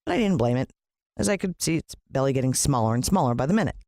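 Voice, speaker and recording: An American woman narrating a children's story in an expressive, dramatic storytelling manner. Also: very deep voice, fast talker, dry recording, studio quality. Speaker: audiobook narrator.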